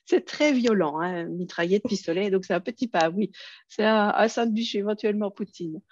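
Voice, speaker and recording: A woman's voice: mid-range voice, normal speed, darkish tone, muffled recording, slight background noise. A woman with a Belgian French accent presenting an online workshop, friendly, with many hesitations and fillers.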